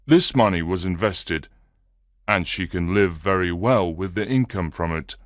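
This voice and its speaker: A man's voice: low-pitched, animated, measured pace, dry recording, studio quality. A man voicing an upset, earnest married businessman who tells his story anxiously in a storybook reading. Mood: earnest, troubled.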